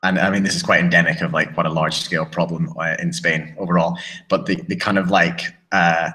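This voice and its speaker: A British man with a very low voice chats on a podcast, and his bright, forceful tone carries through his rambling, restart-heavy sentences. He wanders through his points with plenty of fillers. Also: level tone, brisk. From Britain. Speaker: podcast co-host. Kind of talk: casual chat. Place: living in a small town in southern Spain.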